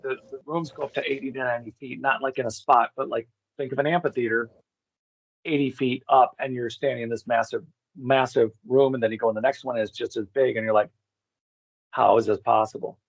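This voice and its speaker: A man's voice: medium pitch, steady tone, fairly quick, vocal fry, dry recording. A man speaking as a guest on a video call, explaining things in a casual, conversational way. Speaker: podcast guest, expedition organizer. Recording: slightly dull lo-fi call audio.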